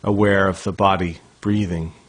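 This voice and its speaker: A man leading a guided body scan meditation for sleep, speaking softly and calmly with long, unhurried pauses. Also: low voice. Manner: soft-spoken, calm, unhurried with long pauses.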